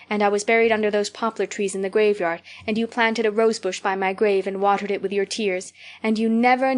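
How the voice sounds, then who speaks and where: A woman's voice: mid-range voice, natural voice. A woman reading aloud, voicing an imaginative, talkative little girl who gushes breathlessly. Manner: gushing, breathless storytelling. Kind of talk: audiobook character reading.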